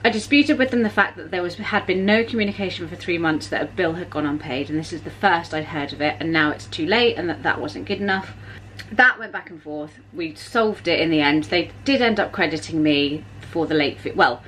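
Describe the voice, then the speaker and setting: A woman's voice: lowish voice, fairly quick, fairly bright tone, slight background noise, rough recording. Chatty woman vlogging at home. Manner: chatty, exasperated storytelling with swearing. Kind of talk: casual chat to camera. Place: home kitchen, indoors. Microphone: camera at arm's length.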